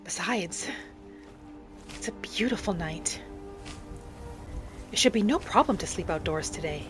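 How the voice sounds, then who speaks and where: A woman voicing a gentle, adventurous female wood elf traveller in a video game roleplay, musing softly aloud in character over music. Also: lowish voice, animated, unhurried. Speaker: video game streamer role-playing.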